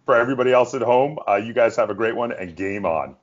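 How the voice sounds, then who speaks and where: A man hosting an interview in a conversational, polite and measured way. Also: medium pitch, muffled recording. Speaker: host of a gaming interview show.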